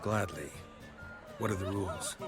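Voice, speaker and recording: A man playing a stoic, dry-humored monster hunter in a fantasy game, terse and deadpan. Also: low-pitched, measured pace, music in the background. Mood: dry, unhurried. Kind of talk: cinematic fantasy game acting.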